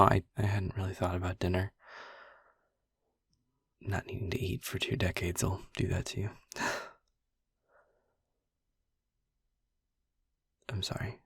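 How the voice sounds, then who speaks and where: Man playing a low, husky, haunted vampire boyfriend. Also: no room sound, studio quality, heavily acted. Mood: distracted, subdued. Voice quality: breathy, husky, often near a whisper. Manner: halting, soft, hesitant, with long pauses. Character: brooding, tender male vampire boyfriend, haunted by his turning. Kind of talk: intimate boyfriend roleplay audio drama.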